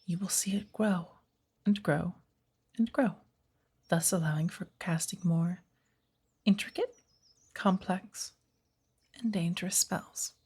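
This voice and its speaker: Girl doing a patient forest witch teacher, soft husky voice, slow careful formal wording, asmr roleplay. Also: lowish voice, full character voice. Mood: patient, instructive. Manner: measured, deliberate, formal, often hushed and breathy. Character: patient witch mentor of the woods, gently teaching magic.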